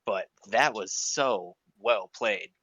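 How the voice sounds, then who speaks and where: A man's voice: lowish voice, slow, fairly bright tone, no room sound. A man co-casting an esports stream, asking the guest questions in a casual, filler-heavy way. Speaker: esports co-caster. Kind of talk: livestream desk interview. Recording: dull lo-fi mic.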